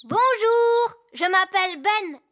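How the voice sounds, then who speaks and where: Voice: extremely high pitch, lively intonation, takes it slowly, noisy background, full character voice. A performer voicing a cheerful, eager small child in a French children's cartoon. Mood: excited. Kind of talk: animated children's cartoon dubbing.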